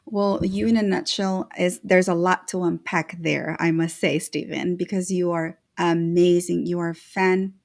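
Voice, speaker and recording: A woman hosting an interview, warmly effusive and full of praise for her guest. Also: lowish voice, fairly even intonation, measured pace, gravelly, few pauses. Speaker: host.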